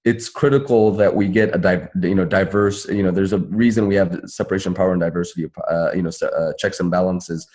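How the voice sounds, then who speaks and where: A man speaking as an activist panelist, giving earnest, somewhat halting political commentary in answer to a question. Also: low voice, steady tone, darkish tone. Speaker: activist panelist at a town hall.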